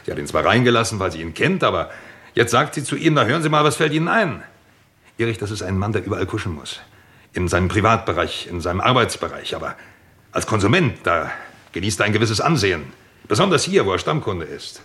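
A deep-voiced, insistent theorizer in a radio crime drama. He talks at length as a detective's colleague, building his case piece by piece and pressing it persuasively. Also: big pitch swings, fast, pushed and bright. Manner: talkative, persuasive, builds arguments step by step. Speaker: actor in a crime radio play.

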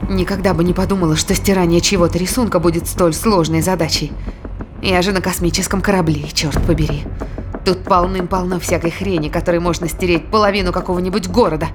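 A woman's voice: fairly low pitch, lightly acted. An actress playing a blunt, self-mocking female space crew scientist talking to herself in an audio drama.

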